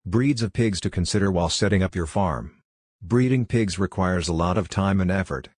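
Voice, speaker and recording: Deep, husky male voice-over narrator. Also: moderate pace, darkish tone, no echo, clean studio sound.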